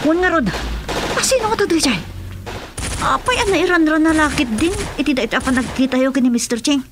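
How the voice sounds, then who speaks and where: A very high voice for an excitable companion acted by a woman in a radio drama. The delivery is that of a governor's aide. There is faint noise on the recording. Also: brisk, natural voice. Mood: agitated.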